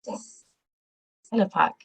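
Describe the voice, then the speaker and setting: A woman moderating an online webinar, polite and brisk as she calls on each participant. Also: background noise. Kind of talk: hosting introductions. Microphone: video call.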